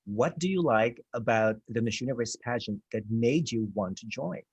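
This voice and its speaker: Animated man hosting a video-call interview. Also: medium pitch, normal speed, slightly rough, muffled recording. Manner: expressive, animated, stumbles and repeats words. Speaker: host, show director.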